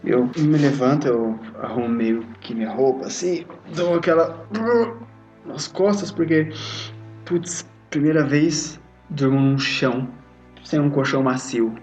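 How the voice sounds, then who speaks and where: A Brazilian man improvises his roleplay character. He speaks slowly and haltingly in a mid-range voice. The sound is a little dull, with music underneath. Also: medium pitch, a little mellow. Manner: hesitant, halting. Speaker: tabletop RPG player. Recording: muffled lo-fi audio under music. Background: background music.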